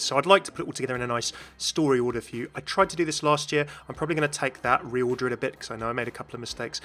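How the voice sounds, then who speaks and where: A man's voice: mid-range voice, a bit bright. A man hosting a gaming channel, giving casual, enthusiastic commentary in a voice-over.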